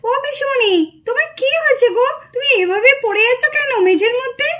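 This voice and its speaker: A woman's voice: very high-pitched, brisk, bright, forceful tone. A woman voicing an inquisitive, anxious village child in a doll story, full of questions and pleading. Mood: puzzled.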